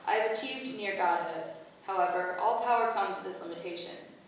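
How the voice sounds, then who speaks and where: A woman's voice: mid-range voice, fairly quick, close to an everyday voice. A woman playing a benevolent, motherly goddess with formal, ceremonial oratory in a staged play reading. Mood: grand. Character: benevolent motherly female goddess, grand and solemn, gathering her heralds. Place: echoey indoor venue at a staged reading. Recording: very echoey room from far off, faint noise.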